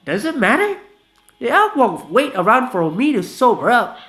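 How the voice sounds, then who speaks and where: Man reading as a drunk young hothead, high and loud, bellowing threats, very animated delivery. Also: normal speed, full character voice. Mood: whiny, boastful. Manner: loud, yelling, high strained voice. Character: drunken hot-tempered young man with a shotgun.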